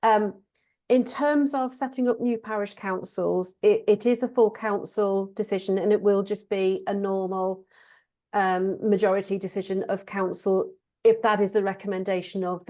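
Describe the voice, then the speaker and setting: A woman with a croaky voice, a council officer politely explaining procedures at a committee meeting. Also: mid-range voice, steady tone, moderate pace, mellow.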